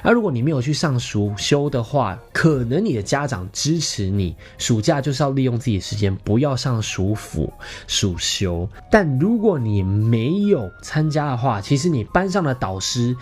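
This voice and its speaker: Male podcast host, thirties, Taiwanese Mandarin accent, mid-pitched and very animated, playful casual storyteller, light music underneath. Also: moderate pace, hardly any pauses. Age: 30s. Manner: animated, playful, self-mocking humour.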